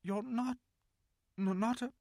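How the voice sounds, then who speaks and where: A man's voice: very high-pitched, sing-song, takes it slowly, no room sound, clean studio sound, voice pushed up, full character voice. A man voicing an anxious, timid girl, speaking in a shaky, hesitant, raised voice.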